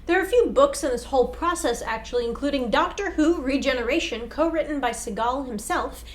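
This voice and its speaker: A woman's voice: sits high, lively intonation, fairly quick. A young adult woman, co-hosting a scripted explainer to camera. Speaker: co-host. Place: small indoor room set with whiteboard.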